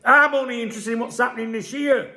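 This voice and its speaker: A man, croaky from a chest infection, giving sweary and sarcastic casual commentary on a news article as a football channel host. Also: very high voice, steady tone, fast, pushed and bright.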